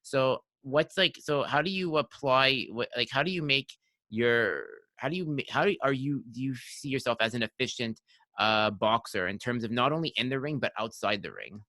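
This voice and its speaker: A man's voice: mid-range voice, moderate pace, bright, forceful tone, no echo. A man of about thirty hosting a podcast, chatting casually and rambling with many restarts and likes. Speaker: podcast host. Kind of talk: casual interview chat.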